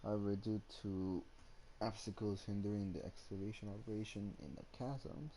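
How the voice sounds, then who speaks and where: A man casually streaming a game, reading on-screen text aloud in a halting, stumbling, muttering way. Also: on the low side, fairly even intonation, mellow, a little hiss or hum, low-quality recording.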